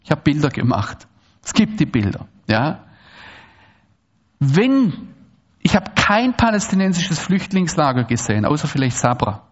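A man addresses an audience in a lively, rambling way, circling back and restarting his sentences. His voice sits a little high for a man. The recording is dull and lo-fi. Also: a little slow, long pauses. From Swabia, Germany. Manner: animated, digressive, frequent restarts and asides. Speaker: speaker giving a public talk. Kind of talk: lecture to an audience.

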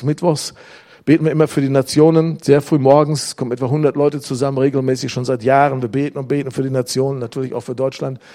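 A man's voice: on the high side, mellow. A middle-aged man preaching a sermon to a congregation, acting out prayers and quotes as he tells stories. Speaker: pastor. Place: church hall with congregation.

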